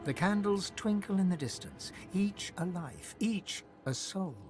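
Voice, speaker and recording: A man's voice: high-pitched, expressive, a little slow, soft background music. A man playing a solemn storyteller, narrating a fantasy video game in a dramatic, literary way.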